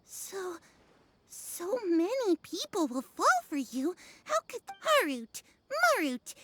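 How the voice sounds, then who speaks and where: A woman's voice: very high voice, wildly swooping pitch, fairly bright tone, slight background noise, full character voice. A woman voicing a theatrical, mystical fortune teller who flusters easily, in an anime game. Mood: flustered. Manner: drawn-out, theatrical.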